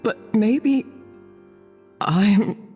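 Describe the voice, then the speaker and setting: A woman playing a remorseful, self-doubting cook in a video game, with a breathy voice. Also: medium pitch, drawn-out words, warm tone, in a large hall, soft background music.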